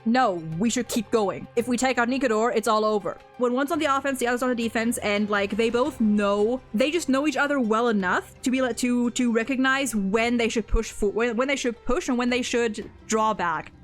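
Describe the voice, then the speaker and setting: A woman's voice: a little high, moderate pace, hardly any pauses. A young woman in casual fan discussion of a game story, talking in an enthusiastic, informal way peppered with "like". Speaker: video game streamer and discussion host. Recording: music playing behind the voice. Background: music.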